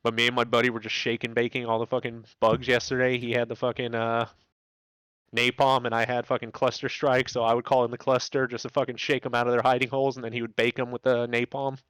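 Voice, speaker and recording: A man's voice: medium pitch, little pitch movement, fast, a bit bright, clean tone, no echo, poor audio. A man chatting casually about games in a laid-back, slangy way, swearing often as he explains things. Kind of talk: casual gaming chat.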